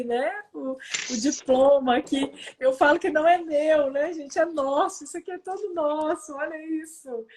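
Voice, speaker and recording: A woman with a Goiás Brazilian accent hosting a casual live stream, effusive and thankful, often checking for agreement as she talks. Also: fairly high pitch. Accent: Goiás Brazilian Portuguese. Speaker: host, quantum therapy teacher.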